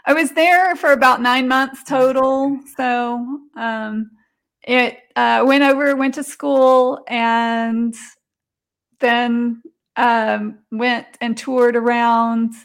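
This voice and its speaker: Higher-pitched woman with a creaky edge. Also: fairly even intonation, very slow. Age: 40s or 50s. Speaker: interview guest. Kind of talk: casual interview, telling personal stories.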